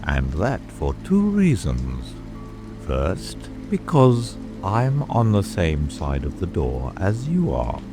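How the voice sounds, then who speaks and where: A man playing a solemn, stolid, dim frog footman with drawn-out speech in a storybook dramatic reading. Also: low voice, wildly swooping pitch, music in the background, heavily acted. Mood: stolid, unbothered. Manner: slow, drawn-out, solemn delivery.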